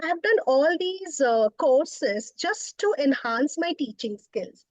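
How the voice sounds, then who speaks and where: Indian lady w/ a high voice, bengali first language, laughs a lot, dry close sound. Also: lively intonation, dry recording. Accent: Indian English. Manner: warm, laughing, thoughtful with pauses. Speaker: guest, poet and professor. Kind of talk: interview conversation.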